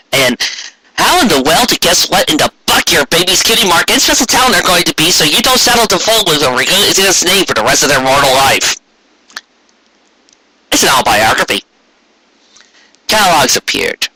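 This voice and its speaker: A man delivers scripted narration with expressive, theatrical stresses. His voice is very bright and tense, slightly rough rather than clean, in a dry recording. He slips into wry asides as he reads. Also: medium pitch, dry recording, poor audio. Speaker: fan fiction reader.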